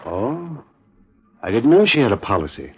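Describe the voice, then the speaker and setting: An actor playing a guarded, bitter, self-justifying family friend in a 1950s radio detective drama. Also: male voice, medium pitch, very animated, moderate pace, slightly rough. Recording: muffled vintage radio recording, dry studio.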